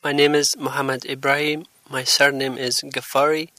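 A young man from Afghanistan, answering interview questions about his English in a halting, hesitant way. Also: mid-range voice, little pitch movement, sharp tone, dry recording. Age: young adult. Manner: hesitant, halting, many pauses.

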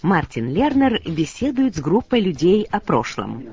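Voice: medium pitch, big pitch swings, normal speed. A language course narrator reading scripted instructions. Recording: dull lo-fi recording with music.

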